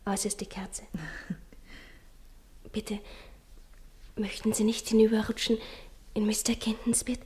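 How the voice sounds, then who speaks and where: A woman in a German radio play acting a clingy, jealous twelve-year-old girl who confides in hushed, breathy, pleading tones. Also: medium pitch, little pitch movement, slow pace, long pauses, in a whisper, lightly acted.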